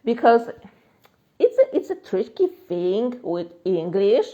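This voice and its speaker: Woman, polish accent, life coach, unhurried, dull lo-fi phone audio. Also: mid-range voice, big pitch swings, mellow. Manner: chatty, many fillers, laughs often. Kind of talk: live-stream Q&A.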